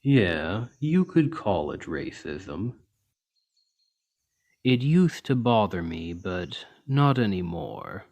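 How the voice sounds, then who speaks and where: A man voicing a deep, slow, gentle orc healer. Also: big pitch swings, exaggerated voice. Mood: resigned, steady. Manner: measured, earnest, reassuring. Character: large gentle orc healer, kindly and plain-spoken. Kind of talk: ASMR roleplay, soft storytelling to an injured listener.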